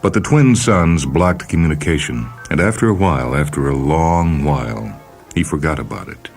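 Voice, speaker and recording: A man reading a short story as a dramatic, measured and expressive narration. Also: rumbling low. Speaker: reader of short stories for a radio program. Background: music.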